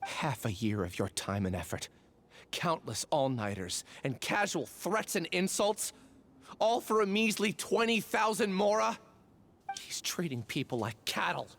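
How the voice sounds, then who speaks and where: A man's voice: a little high, big pitch swings, unhurried, creaky, a bit bright, a little hiss or hum, exaggerated voice. A man playing an indignant young student in a video game, protesting with passion. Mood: bitter, outraged. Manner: impassioned, protesting. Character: indignant young male student standing up for a friend.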